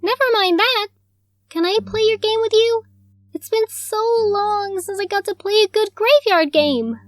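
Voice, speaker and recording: A woman voicing a young filly who is sweet, light and quietly mysterious, in a storybook reading. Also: extremely high pitch, unhurried, no echo, faint music behind, pitched above the performer's own voice. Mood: eerie sweet. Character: eerie young ghostly filly, sweet and secretive.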